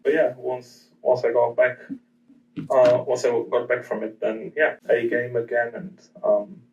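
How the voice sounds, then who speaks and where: Male guest from Portugal, flat hesitant delivery, muffled distant mic. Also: medium pitch, measured pace. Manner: hesitant, many ums and restarts. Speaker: guest trader. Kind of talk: casual interview answers.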